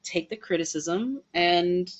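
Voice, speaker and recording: A woman hosting a casual livestream, chatting to viewers in an animated, playful way, on dull lo-fi audio. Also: on the low side, takes it slowly, husky. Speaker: livestream host and band musician.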